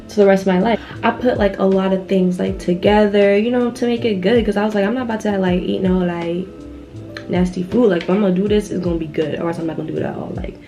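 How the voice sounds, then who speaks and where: A woman's voice: medium pitch, steady tone, fast talker, dark tone, soft background music. A young woman chatting casually to her viewers as a vlogger, in an informal, rambling, slangy way with lots of filler "like". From Ghanaian background. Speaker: YouTube vlogger. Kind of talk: casual chat to viewers, personal storytelling.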